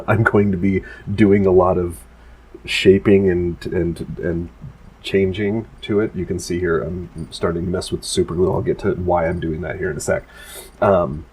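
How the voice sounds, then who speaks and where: A man's voice: sits low, steady tone, measured pace, darkish tone, slight background noise, poor audio. A man with a stuffy, nasal voice from allergies, casually narrating his prop-building work with lots of restarts. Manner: rambling, full of restarts and fillers.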